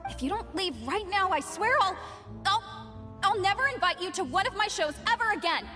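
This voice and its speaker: A woman's voice: extremely high pitch, animated, fairly quick, pushed and bright, full character voice. A woman voicing an earnest, modest young actress in a video game, with a sincere, polite delivery. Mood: angry. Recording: long reverb, music behind.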